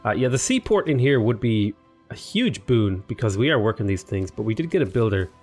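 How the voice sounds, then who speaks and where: A man's voice: fairly low pitch, big pitch swings, brisk, a little mellow, faint music behind. A man casually commenting while playing a strategy game, thinking aloud and sounding a little sniffly and under the weather. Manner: thinking aloud, rambling, talks to game units. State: sniffly, slightly unwell. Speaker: gaming YouTuber. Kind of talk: casual let's play commentary.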